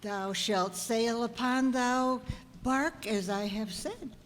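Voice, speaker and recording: A woman's voice: a little high, takes it slowly. A woman playing a stern messenger of God in a radio drama, giving grand, solemn, archaic pronouncements. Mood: grave. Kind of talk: old-time radio drama acting. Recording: echoey hall with long reverb.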